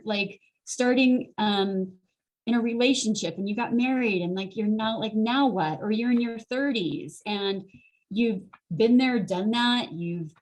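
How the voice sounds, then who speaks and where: A woman's voice: fairly high pitch, darkish tone, low-quality recording. A middle-aged woman speaking as host and career coach in a motivational online talk, earnest and conversational, addressing her listeners directly. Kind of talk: motivational webinar presentation. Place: online video call.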